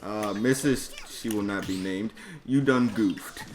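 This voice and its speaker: Low-pitched man telling an exasperated story. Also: unhurried. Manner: emphatic, exasperated, swearing. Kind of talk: animated personal storytelling, profane slang. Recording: clearly noisy background.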